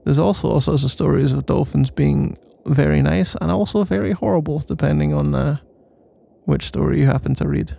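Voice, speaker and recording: A man's voice: on the high side, moderate pace, creaky, mellow. A man doing a casual, rambling ASMR boyfriend roleplay in a playful, mock-boastful and meandering way.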